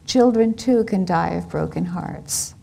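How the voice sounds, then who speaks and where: A woman reading the lines of a persuasive, worldly man who speaks in a soft, measured, reassuring way. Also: lowish voice, creaky, full character voice. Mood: soothing.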